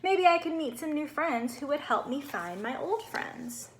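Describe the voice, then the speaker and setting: Female reader as naive, cheerful boy snowman for a storybook: high-pitched, expressive, bubbly, innocent. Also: unhurried, a little hiss or hum. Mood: curious, upbeat. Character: cheerful, naive little male snowman telling his story.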